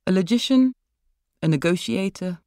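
A woman's voice: lowish voice, expressive, normal speed, warm tone, dry recording. A woman reads literary fiction as its author, in narration style. The recording is very dry, with almost no room in it. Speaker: author reading own story. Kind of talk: literary short-story narration.

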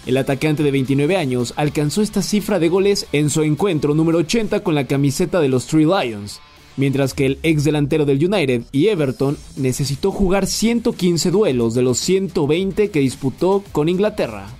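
A man hosting a sports news podcast, reading the day's football stories in a brisk, scripted way. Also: on the high side, soft background music. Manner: brisk, even headline delivery.

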